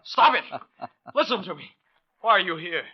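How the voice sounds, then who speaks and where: An actor playing a distraught, earnest young husband in a 1940s radio drama, speaking in an urgent, melodramatic way. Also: male voice, high-pitched, expressive, moderate pace, husky. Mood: angry, desperate. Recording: dull vintage radio recording.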